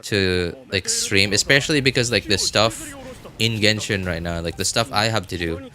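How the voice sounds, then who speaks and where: A man's voice: on the low side, moderate pace, a crowd in the background. A man live-streaming games, chatting casually with viewers in an informal, rambling way and repeating phrases for emphasis.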